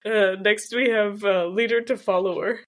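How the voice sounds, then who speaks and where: Co-host, female: mid-pitched, upbeat and teasing, steers the conversation. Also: steady tone, moderate pace. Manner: upbeat, teasing, guides the segment. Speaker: podcast co-host. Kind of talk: casual podcast chat.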